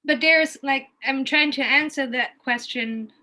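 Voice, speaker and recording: A woman's voice: high-pitched, a little slow, sharp tone. A woman from Germany with a German accent, telling her story casually, thinking aloud and often rephrasing as she goes.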